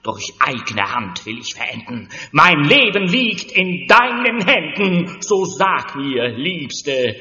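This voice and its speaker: An actor playing a lovesick stage actor who declaims pompous rhymed verse theatrically in a German audio drama. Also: male voice, on the high side, big pitch swings, slow pace, bright, forceful tone, soft background music, heavily acted. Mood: melodramatic despair. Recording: dull sound in a long-reverberant echoing hall.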